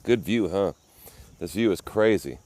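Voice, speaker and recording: A man's voice: deep voice, animated, unhurried, darkish tone. A man livestreaming outdoors from a phone with an ear-worn mic, chatting casually, rambling, and greeting viewers by name. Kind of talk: casual live-stream chat. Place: outdoors at a garden cafe.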